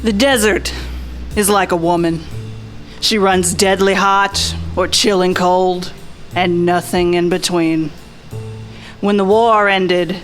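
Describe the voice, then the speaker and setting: A woman's voice: lowish voice, a bit bright. A woman playing a world-weary, wry 1940s private detective, narrating in a folksy, slangy noir radio style. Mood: wry, reflective. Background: music.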